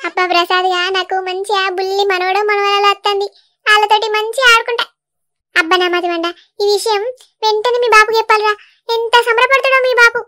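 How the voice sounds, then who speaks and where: A woman voicing a fussy village mother-in-law in a cartoon, with a Telangana Telugu accent and loud, quick, fussing talk. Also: very high voice, steady tone. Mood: overjoyed. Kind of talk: cartoon voice acting.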